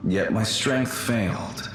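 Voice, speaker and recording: A man playing the ghost of an immortal guardian warrior in a video game, solemn, gentle and regretful, with formal, measured speech and a ghostly echo. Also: on the low side. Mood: solemn, courteous. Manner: formal, measured, archaic phrasing. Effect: heavy ghostly echo. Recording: heavy echo, music behind.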